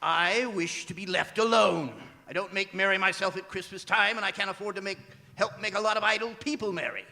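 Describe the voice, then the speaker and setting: A man's voice: sits high, fairly even intonation, fairly quick, vocal fry, bright, forceful tone, full character voice. An actor playing a miserly, cantankerous old Victorian businessman in a radio drama, with a scornful, barking delivery. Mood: cold, contemptuous. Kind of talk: stage radio drama acting. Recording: reverberant theatre hall recording.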